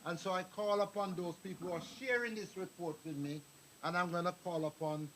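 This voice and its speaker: A man's voice: sits high, steady tone, fairly quick, a bit bright, slight background noise, low-quality recording. Older man addressing a meeting. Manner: rambling, anecdotal, addresses the crowd directly. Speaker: speaker at a community meeting. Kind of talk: public address to an audience. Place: meeting hall.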